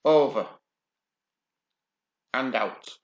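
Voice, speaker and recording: A sardonic man reads news articles aloud at a slow, deliberate pace, cutting in with dry, mocking remarks. His voice sits in a roomy space on a dull, lo-fi home recording. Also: medium pitch. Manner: dry, sardonic, swears casually. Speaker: channel host. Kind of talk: reading news aloud with sarcastic commentary.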